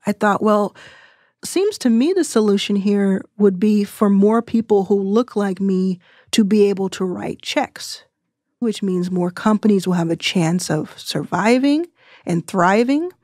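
A woman in her thirties speaking as a podcast guest, explaining her views in an earnest, measured way. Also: mid-range voice, expressive, darkish tone, dry recording, clean studio sound. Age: 30s. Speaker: guest investor. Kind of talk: reflective podcast interview.

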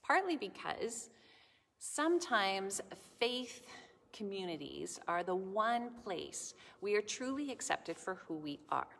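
A middle-aged woman giving a children's sermon in a large church sanctuary, speaking gently and explaining things to children. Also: mid-range voice, big pitch swings, takes it slowly, vocal fry. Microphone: camera in front, at close range. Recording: long church reverb.

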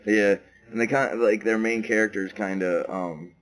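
A man co-hosting a podcast in a casual chat, laid-back and quick to laugh, with lots of fillers. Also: lowish voice, steady tone, a bit bright, low-quality recording. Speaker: podcast co-host. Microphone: podcast microphone.

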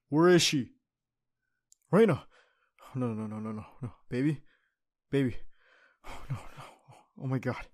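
A man's voice: on the high side, a little mellow, close to an everyday voice. A man playing a young secret agent who leads his team and is a devoted boyfriend, in an audio roleplay drama. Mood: distraught, pleading. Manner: clipped military radio commands.

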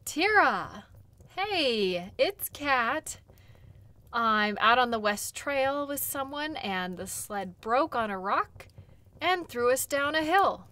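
Female, actress as kind outdoor sled guide for audio role play; gentle, reassuring, conversational, takes her time. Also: high-pitched, sing-song, takes it slowly, exaggerated voice. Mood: upbeat, casual. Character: caring, chatty female dog-sled guide tending wounds.

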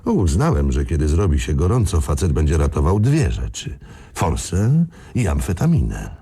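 A proud, scheming gang leader in a radio crime drama. His voice is deep and raspy with plenty of fry. He gives orders and lays out plans with animated, swinging intonation. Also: normal speed, heavily acted. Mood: smug, gleeful. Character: male gang leader, commanding, sly, proud planner.

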